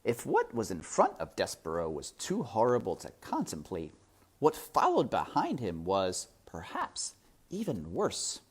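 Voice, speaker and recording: A woman narrates a storybook in a very low, husky voice. Her delivery is theatrical and suspenseful, slow, with hugely expressive rises and falls. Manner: suspenseful, hushed at times, theatrical. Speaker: storybook reader. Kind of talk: dramatic read-aloud narration.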